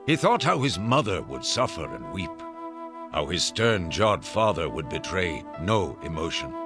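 A man's voice: sits low, very animated, unhurried, over music, exaggerated voice. A man acting as a solemn, lyrical storyteller, narrating a scripted radio drama. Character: solemn storyteller of his captive Native brothers.

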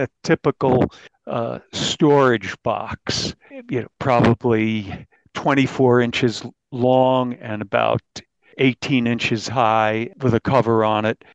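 A man's voice: medium pitch, no echo. A man speaking as an interviewed reporter, explaining details in a conversational, matter-of-fact way. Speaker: newspaper reporter, interview guest. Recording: dull, band-limited audio.

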